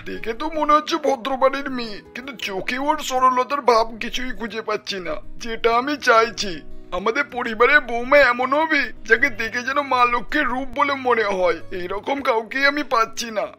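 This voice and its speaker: Man acting an opinionated old grandfather, strikingly high-pitched. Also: normal speed, pushed and bright, faint music behind. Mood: picky. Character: jovial, opinionated elderly grandfather, doting family patriarch. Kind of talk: cartoon character voice.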